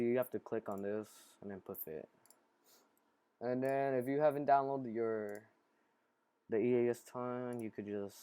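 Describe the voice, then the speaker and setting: A man casually talking through a software tutorial in a halting, improvised way, step by step. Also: fairly low pitch, no echo. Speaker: tutorial host.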